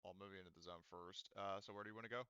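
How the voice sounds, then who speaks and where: A man's voice: low voice, steady tone, very fast, pushed and bright, clear, resonant tone, no room sound, muffled recording. A man running a tabletop roleplaying game, laughing often and thinking aloud as he works through the rules. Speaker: game master.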